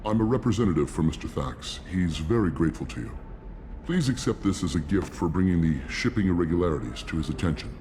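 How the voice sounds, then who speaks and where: A formal, businesslike envoy in a video game. His voice is very low and his delivery quick and courteous, over clearly audible ambient noise. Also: lively intonation, a little mellow. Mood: polite, discreet. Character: polite, businesslike male go-between for a boss.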